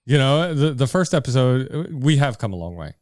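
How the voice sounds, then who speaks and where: A man's voice: mid-range voice, sing-song, moderate pace, darkish tone, dry recording. A man with a heavy Brooklyn accent hosting a casual podcast in a basement studio, talking loosely with lots of fillers. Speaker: podcast host. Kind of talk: casual chat. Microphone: studio microphone.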